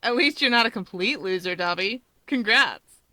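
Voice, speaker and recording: A woman voicing a brash, teasing young rabbit-woman hero, loud, cocky and chatty in an audiobook-style story. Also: medium pitch, lively intonation, normal speed, no echo, low-quality recording. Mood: playful.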